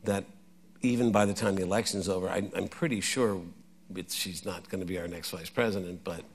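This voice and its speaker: A man's voice: sits low, fairly even intonation, a little fast, husky. A man on a festival panel who rambles and repeats his words as he thinks aloud. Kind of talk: panel discussion on stage. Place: festival stage.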